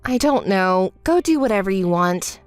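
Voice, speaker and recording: A woman's voice: medium pitch, lively intonation, clean tone, dry recording, faint music behind, voice pushed down, natural voice. Woman acting a cool, sensible young woman. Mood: cool, dismissive. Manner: cool, measured, dismissive. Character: calm, reproachful, sensible young woman, wronged ex-wife. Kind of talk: melodramatic story dub.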